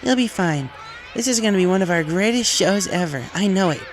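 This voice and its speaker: Guy doing a confident lady flying captain pony, pitched up, encouraging, storybook reading. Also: expressive, a little fast, a little hiss or hum.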